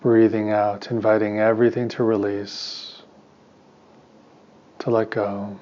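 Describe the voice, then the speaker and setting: A man with a low voice guides a meditation in a calm, soothing manner. His delivery stays very flat and unhurried, leaving long pauses between short instructions. The recording sounds slightly dull and muffled. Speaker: meditation teacher and therapist.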